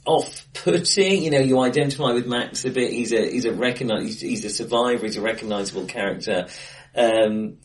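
A man with a mid-pitched voice talks casually about films as a podcast co-host. He is hesitant and rambling, often restarting his sentences. He sounds a little away from the mic in an acoustically bright room. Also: a little fast, recorded from a distance, low-quality recording. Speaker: co-host of a film discussion podcast. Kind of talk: casual discussion, film commentary.

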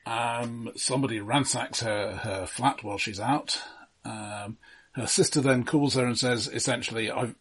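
A man's voice: medium pitch, a little slow, few pauses, fairly bright tone, husky. A man co-hosting a film podcast, casually summarising and commenting in a dry, understated way.

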